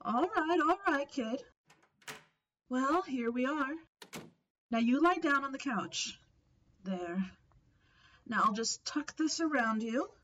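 Actress as a motherly, slangy operator, fairly high-voiced. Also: lively intonation, slow, long pauses, a bit bright, muffled recording, slight background noise, exaggerated voice. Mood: caring. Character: caring, coaxing telephone operator friend, motherly and slangy. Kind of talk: old-time radio drama acting.

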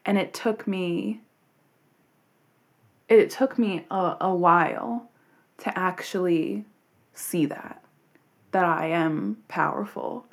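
A woman's voice: fairly low pitch, slow pace, pauses often. A woman hosting a podcast, talking in an earnest, rambling way with many fillers and restarts. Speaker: podcast host. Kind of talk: reflective unscripted monologue.

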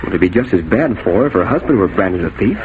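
An actor playing a bitter, wronged young husband in a 1940s radio drama, curt and quick to anger. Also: male voice, sits low, very animated, phone-quality sound, slight background noise. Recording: old 1940s radio recording.

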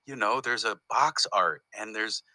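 Brief: low, bright-toned male comedian, meandering and chatty, dry close sound. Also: unhurried, no room sound. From United States. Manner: rambling, self-interrupting storyteller. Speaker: comedian guest. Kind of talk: casual interview conversation.

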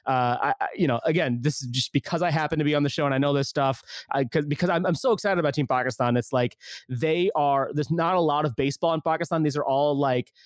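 A man's voice: medium pitch, no room sound. A man hosting a casual podcast, chatting loosely with plenty of fillers and restarts. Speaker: podcast host.

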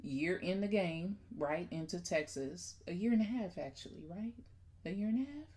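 A woman from the Midwest hosts a casual podcast, her voice sitting slightly on the low side. She tells her story in a playful, talkative way and often turns to speak directly to her audience. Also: expressive, moderate pace, dark tone. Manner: chatty, playful, talks straight to listeners. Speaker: podcast host.

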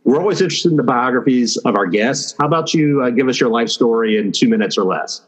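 A man's voice: medium pitch, animated, fast. A man hosting an interview, asking questions in a friendly, loose way with fillers. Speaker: podcast host, interviewer. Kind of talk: casual interview talk.

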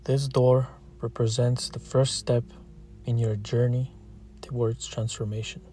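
A man narrating a scripted guided meditation, calm and gentle, leaving long pauses between short phrases. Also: medium pitch, slight background noise.

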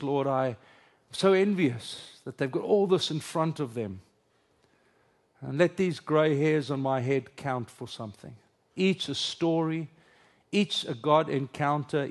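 A middle-aged man with an Afrikaans accent, whose first language is Afrikaans, gives a conference sermon and leads a prayer, joking and then earnest. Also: fairly high pitch. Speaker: preacher.

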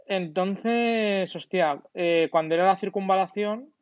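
A man in casual chat as a co-host, relaxed and asking questions. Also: high voice, slow pace, clear, resonant tone, no echo. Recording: dry, dull lo-fi sound.

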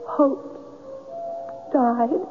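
An actress playing a despairing, tender young woman in an old-time radio drama, halting and pleading. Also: high-pitched, steady tone. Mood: longing, mournful. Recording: dull old radio recording, music behind.

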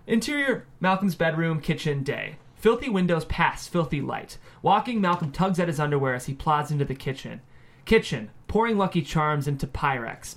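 A man's voice: fairly high pitch, fairly bright tone. A man reading out the stage directions as narrator at a screenplay table read.

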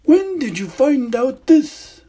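Man putting on Dumbledore, a high gentle old wizard. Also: sing-song, husky, heavily acted. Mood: concerned. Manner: gentle, measured and grave. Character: old wise male wizard headmaster, calm and grave.

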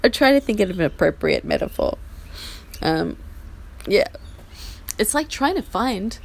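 An Australian woman in her forties, husky from a chest infection, rambling through a self-deprecating update from a small outdoor patio. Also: medium pitch, sing-song, measured pace. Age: 40s. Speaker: podcast host. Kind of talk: casual personal update. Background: faint rain.

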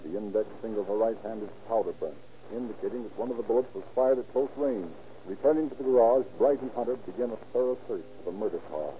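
Casting: male narrator, medium pitch, formal factual crime-story delivery, dull and clearly noisy vintage radio recording. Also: fast, warm tone.

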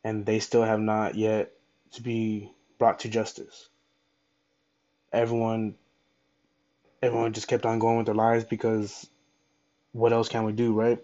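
Male podcaster, lowish and fairly even pitch, unscripted and fervent, halting with repeated phrases, slightly lo-fi audio. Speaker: podcast host. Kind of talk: unscripted solo podcast monologue.

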